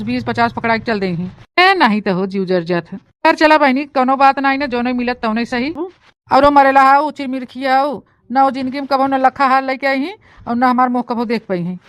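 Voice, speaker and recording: Woman acting scheming young bride, high voice, eastern Uttar Pradesh accent, melodramatic complaining, comic cartoon, dry recording. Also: a bit bright, no room sound. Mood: relieved, grumbling, then smug. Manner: melodramatic, grumbling. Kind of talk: comic cartoon story dialogue in rustic dialect.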